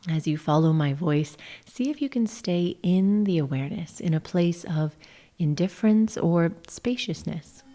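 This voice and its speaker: Casting: female meditation guide, low and dark-toned, gentle and measured, phrase endings melting into whisper. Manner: soothing, gentle, measured, often trailing into breathy whispers. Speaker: yoga nidra guide, session host.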